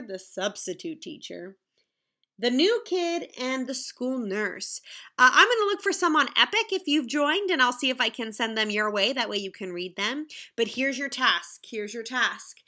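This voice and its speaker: A woman reading a picture book aloud in the manner of a teacher, expressive and explaining things simply to children. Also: high voice. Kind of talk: animated children's read-aloud with asides to the listeners.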